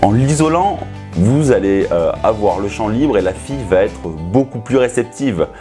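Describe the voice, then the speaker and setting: A man's voice: lowish voice, expressive, normal speed, mellow, soft background music. A man coaching in a didactic, instructional way, speaking directly to the viewer. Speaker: seduction coach hosting a video. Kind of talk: instructional talk to camera.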